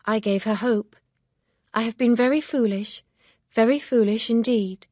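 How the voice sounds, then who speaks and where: A woman's voice: fairly high pitch, a little slow, darkish tone, no room sound, studio quality, voice pushed up. A woman reading an audiobook, voicing a proud, earnest young woman who speaks with firm emphasis.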